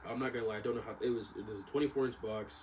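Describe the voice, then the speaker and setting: A man's voice: medium pitch, steady tone, rapid-fire, darkish tone, slight background noise. A young American man telling a story casually, with a rambling, slangy manner, often correcting himself. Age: young adult. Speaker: vlogger, exercise science student.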